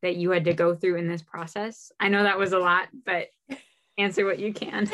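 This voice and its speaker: Enthusiastic young woman, halting speech. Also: lowish voice, flat delivery, fairly bright tone, slight background noise. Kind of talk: casual conversation.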